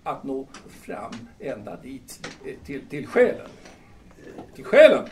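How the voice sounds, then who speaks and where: An actor playing a grandiose, irritable drunken playwright in an audio drama, declaiming haltingly with emphatic repetitions. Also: male voice, medium pitch, very animated, darkish tone, lightly acted. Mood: grandiose, fervent. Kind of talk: theatrical audio drama acting in Swedish. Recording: distant mic with faint noise.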